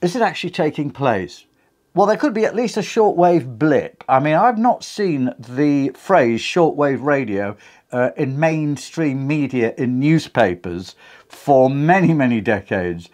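A man's voice: medium pitch, expressive, unhurried, darkish tone. A middle-aged British man in his fifties, hosting a casual talk to camera about radio, recorded on a lapel mic in a small home studio. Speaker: video host. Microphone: clip-on lapel mic.

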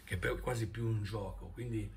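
A man's voice: on the low side, steady tone, normal speed, creaky, a little mellow. A man, rambling casually in a vlog shot on a phone at arm's length. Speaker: video blogger. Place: indoor room. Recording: noisy phone video played back.

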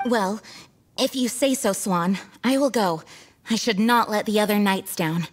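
An actress playing a dutiful young female knight commander in an anime game, earnest, gracious and measured. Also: medium pitch, a little hiss or hum, natural voice. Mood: calm resolve.

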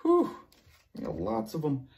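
A young man teaching young children, playful and animated, cheering them on and making sound effects while he reads a picture book aloud in a classroom. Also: mid-range voice, unhurried. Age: young adult. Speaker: preschool teacher. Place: classroom with word cards on a board.

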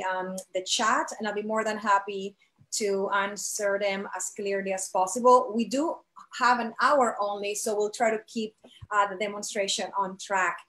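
Woman instructor explaining in halting phrases. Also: mid-range voice, a bit bright. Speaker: art college instructor giving a product demonstration. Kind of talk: live instructional demo, explanatory.

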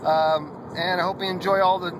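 A man's voice: high-pitched, normal speed, noisy background. A man in his thirties chatting casually to the camera on a road trip, recorded inside a moving car. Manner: loud upbeat greeting to camera, then relaxed rambling with laughs. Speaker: vlogger. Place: indoors at first, then inside a moving car.

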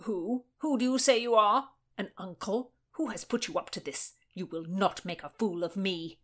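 A woman's voice: medium pitch, normal speed, lightly acted. A woman voicing a haughty, hot-tempered young Tudor nobleman in an audiobook, indignant and spluttering. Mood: suspicious, haughty. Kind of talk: audiobook character dialogue.

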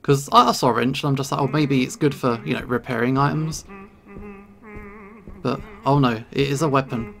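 A man giving casual let's play commentary, thinking aloud in short, halting remarks. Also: mid-range voice, gravelly, fairly bright tone. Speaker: video game player and host.

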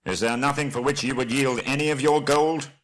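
A man reading a storybook performs a firm, bold male leader. The voice is raised in pitch, with a forthright, challenging delivery. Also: fairly even intonation, a little fast, fairly bright tone, close to an everyday voice.

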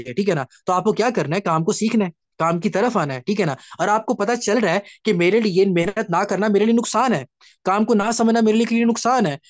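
Man, Pakistani accent, high voice, emphatic and repetitive, motivational trainer, informal online coaching, dry close sound. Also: no room sound. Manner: emphatic, repetitive, instructive, with frequent check-back questions. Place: online video meeting.

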